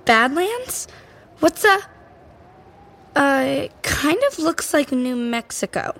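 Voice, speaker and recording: A woman's voice: high voice, expressive, slow, a bit bright, exaggerated voice. A female actor playing a plucky, curious ten-year-old girl in an audio fantasy drama, plain-spoken and questioning.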